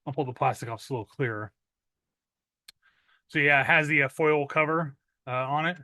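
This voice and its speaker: A man's voice: on the high side, a little fast, bright, forceful tone, no room sound. Rambling man with many fillers. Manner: rambling with many "uh" fillers, clears throat often. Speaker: metal music vlogger. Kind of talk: casual unboxing chat.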